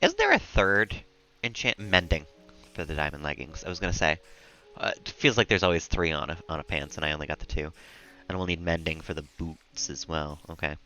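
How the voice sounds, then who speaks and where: A man chatting casually and calmly as he streams a video game, rambling a little as he plays. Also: low voice, fairly quick, soft background music, rough recording. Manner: calm, relaxed, rambling. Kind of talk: casual chat while gaming.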